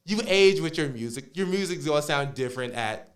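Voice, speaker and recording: Guest, 20s man, from Minnesota, lively pitch, slangy casual talk. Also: brisk. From Minnesota, USA. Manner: animated slang, "bro" and "that part". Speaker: guest musician. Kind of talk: casual interview chat.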